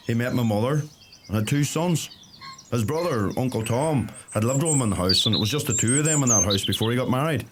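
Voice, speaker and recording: Radio play: young Irish farmer from Donegal male actor, mid-pitched, wry, plain-spoken, birdsong and clear background noise. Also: close to an everyday voice. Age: young adult. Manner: dry, colloquial, understated.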